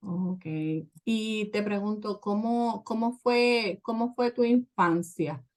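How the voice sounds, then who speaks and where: Church show host lady, lots of este and verdad, mid pitch. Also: slow. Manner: chatty, filler-heavy, says "¿verdad?" often.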